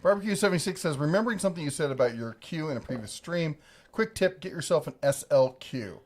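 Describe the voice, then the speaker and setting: A man's voice: fairly high pitch, expressive, quick pace. A man casually chatting on a livestream, reading viewer comments in a laid-back, rambling, jokey way. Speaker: livestream co-host.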